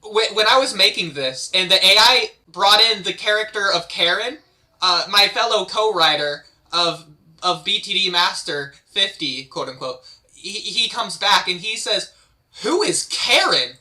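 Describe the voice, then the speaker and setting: A man's voice: sits high, moderate pace, pushed and bright. A man giving casual commentary on a script table read as one of its writers.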